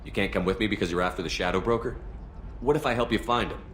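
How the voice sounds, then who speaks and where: An actor playing a calm, decisive male military commander in a video game, speaking firmly and directly. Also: lowish voice, quick pace, slight background noise, lightly acted. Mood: steady, helpful. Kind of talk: scripted video game dialogue. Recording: music behind the voice.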